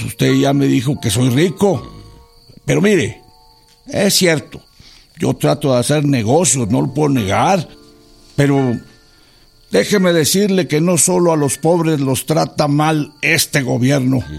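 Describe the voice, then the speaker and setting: Earnest revolutionary, gravelly and husky. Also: male voice, on the high side. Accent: Mexican. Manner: polite, hesitant, persuasive. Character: earnest, educated revolutionary businessman pleading his cause. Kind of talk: radio drama acting.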